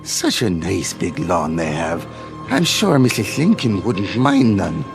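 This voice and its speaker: An actor playing a drunken, cheerful vagrant in a radio drama, rambling and ingratiating. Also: male voice, sits low, huge pitch swings, slow, gravelly, over music, full character voice. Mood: tipsy, wheedling.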